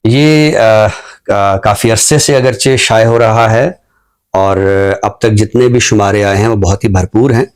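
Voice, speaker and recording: A man with a fairly low voice and a Pakistani accent speaks as a literary commentator. His delivery is measured and formal, with thoughtful pauses inside sentences. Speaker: literary talk show guest and book reviewer. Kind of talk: unscripted book commentary and poetry recitation.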